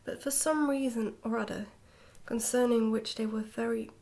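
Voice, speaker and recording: A woman reading a storybook in a soft-spoken ASMR style, slipping often into a whisper, with a gentle, hushed delivery. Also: fairly high pitch, steady tone, moderate pace, creaky. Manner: gentle, hushed delivery with some stumbles over words. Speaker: ASMR reader, channel host.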